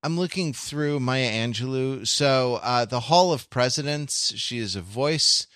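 A man chatting casually as a podcast co-host, speaking in a halting, stop-and-start way as he searches for words. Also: medium pitch, a bit bright, no echo, studio quality.

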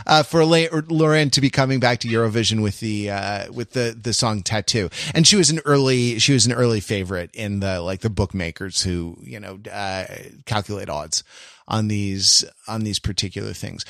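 Male podcast host, mid-pitched, dry close sound. Conversational, wordy, often rephrasing as he explains. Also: fairly bright tone, no room sound. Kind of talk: casual pop-culture discussion.

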